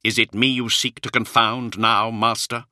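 A man voicing a weary, brooding commander of knights in an audiobook, with a rough, worn voice and a slow, grave delivery. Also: mid-range voice, level tone, sharp tone, no room sound, clean studio sound, pitched above the performer's own voice. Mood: wry, sombre. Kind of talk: audiobook character acting.

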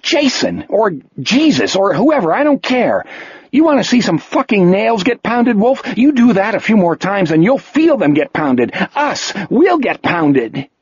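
Guy doing a hoarse angry teen boy yelling, voice cracking, pitch all over the place. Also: wildly swooping pitch, takes it slowly, dry recording, lo-fi sound, exaggerated voice. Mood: furious. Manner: shouting, furious, voice breaking. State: hoarse sore throat. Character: exhausted, desperate teenage boy on the road.

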